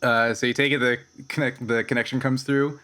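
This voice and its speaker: A male game master with a bright, forward voice of middle pitch. He explains and improvises haltingly, over some background noise. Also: little pitch movement. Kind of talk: improvised tabletop game narration.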